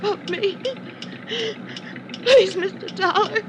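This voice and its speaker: An actress playing a frightened young woman in agonizing pain, halting and pleading in a 1950s radio drama. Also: very high-pitched. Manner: halting, anguished. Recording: vintage radio recording with background noise.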